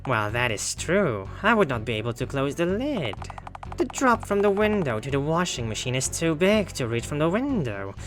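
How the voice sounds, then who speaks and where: A man's voice: fairly high pitch, very animated, normal speed, slight background noise. A man voicing a sly, defiant masked killer girl in a visual novel, with cool menace. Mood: defiant denial. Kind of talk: dramatic visual novel character reading.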